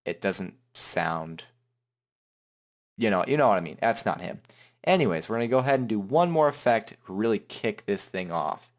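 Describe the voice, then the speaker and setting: Low-voiced man giving a casual tutorial. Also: expressive, moderate pace. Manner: informal, rambling, hums filler syllables while working. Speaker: tutorial host. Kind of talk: casual improvised software tutorial.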